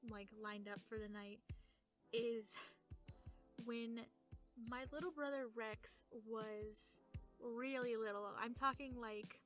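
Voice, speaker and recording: A woman's voice: on the high side, fairly even intonation, takes it slowly, fairly bright tone, soft background music. A woman co-hosting a podcast in character as a young demon, telling personal stories in a chatty, informal way. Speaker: podcast co-host.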